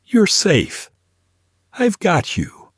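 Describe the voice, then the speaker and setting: Man acting out a calm, protective mafia boss. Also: high-pitched, drawn-out words, breathy edge, no room sound, clean studio sound, pitched above the performer's own voice, full character voice. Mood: calm, reassuring. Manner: calm, reassuring.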